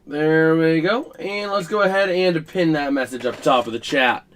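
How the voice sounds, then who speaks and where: A man hosting a casual live stream about a college football game, his voice scratchy and rough, chatting to viewers with plenty of fillers. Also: a little high, moderate pace, fairly bright tone. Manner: chatty, filler-heavy, talks to chat while working the stream.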